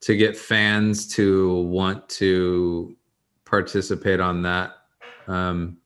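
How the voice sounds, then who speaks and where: A man with a somewhat low voice speaks in a halting way, pausing on fillers. He talks casually, working his ideas out as he goes. The delivery is loose and unpolished. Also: level tone, a bit bright. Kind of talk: casual podcast chat.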